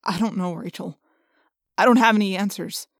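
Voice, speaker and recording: A woman's voice: on the high side, lively intonation, moderate pace, dry recording, studio quality, natural voice. Woman voicing a firm, weary teenage boy leader. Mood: weary, fed up. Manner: firm, scolding, stubborn.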